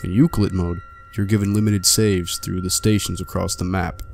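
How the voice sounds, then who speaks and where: A man reading a mock-dramatic scripted intro in a deadpan way. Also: sits low, normal speed, creaky, faint music behind. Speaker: video narrator.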